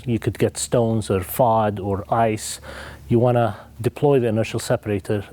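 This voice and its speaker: A man giving a measured, instructive technical explanation. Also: medium pitch, warm tone, no echo.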